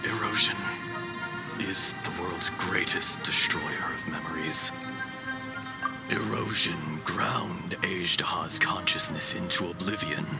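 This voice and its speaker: An actor playing the benevolent, weary remnant of an ancient dragon in a human body, speaking solemnly with measured, breathy pauses in a dramatic game style. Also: male voice, low voice, over loud music, heavily acted. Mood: wistful, solemn. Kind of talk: dramatic video game voice acting.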